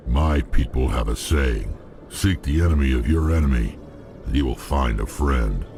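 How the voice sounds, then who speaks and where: A man voicing a menacing alien bounty hunter in a video game, gravelly, blunt and unhurried. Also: rumbling low, animated, over music. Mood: grim, measured.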